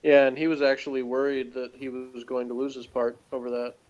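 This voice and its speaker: A man's voice: medium pitch, flat delivery, fairly quick, lo-fi sound, noisy background. A man in a casual review chat, talking in a rambling, hesitant and wry way.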